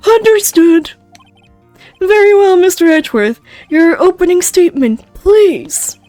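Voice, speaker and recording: A woman with an Icelandic accent voicing a formal old male judge, stiff and slow-spoken. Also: very high voice, warm tone, faint music behind, pitched above the performer's own voice.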